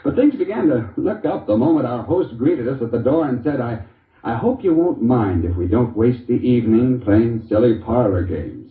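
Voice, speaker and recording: An actor playing a genial, wry husband who tells a folksy, hesitant story in an old-time radio commercial. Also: male voice, mid-range voice, very animated, normal speed, distant mic, close to an everyday voice. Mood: pleased. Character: genial, wry husband telling beer testimonial. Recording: muffled, echoey old radio recording.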